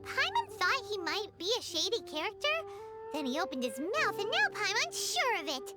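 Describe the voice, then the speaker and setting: A woman voicing a small, bossy, excitable fairy companion with a squeaky childlike voice in a video game. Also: normal speed, heavily acted. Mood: suspicious. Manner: speaks of herself in the third person, exclaiming. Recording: loud game music behind the voice.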